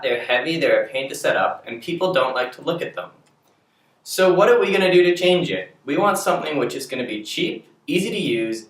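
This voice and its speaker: A man in his twenties, a university student, delivering a scripted pitch with animated rhetorical questions. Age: 20s. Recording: roomy, distant recording.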